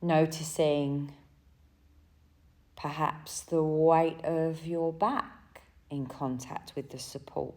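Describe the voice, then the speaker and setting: A woman with a low, soft-edged voice guides a deep relaxation practice. She speaks very slowly and gently, leaving space between short phrases. Her tone is dark and mellow, soothing the listener through each step. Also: little pitch movement. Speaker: yoga nidra guide.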